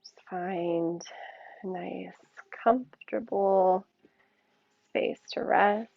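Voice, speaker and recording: A woman yoga teacher leading a guided meditation in a soothing, unhurried way, with gentle pauses between short phrases. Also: fairly low pitch, steady tone, creaky, lo-fi sound.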